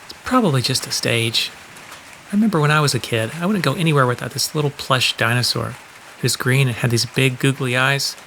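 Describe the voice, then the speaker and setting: A man's voice: mid-range voice, a little fast, sharp tone, slight background noise. Easygoing man, faint rain behind. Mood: casual, kindly. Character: easygoing, kindly, slightly sheepish man. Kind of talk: audio drama acting.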